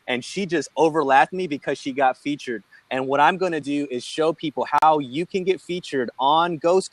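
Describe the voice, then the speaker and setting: A man hosting a group call, promoting enthusiastically and repeating links slowly. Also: a little high, no room sound, rough recording. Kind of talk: casual group video call.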